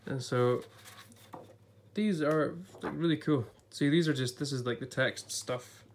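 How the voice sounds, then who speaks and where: A man's voice: medium pitch, measured pace, slight background noise. A man, a little off-voice while getting over an illness, flipping through an illustrated book in a casual vlog, chatty and thinking aloud. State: voice a bit off from recent illness. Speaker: YouTuber reviewing a book. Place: indoors at a table before a blue backdrop.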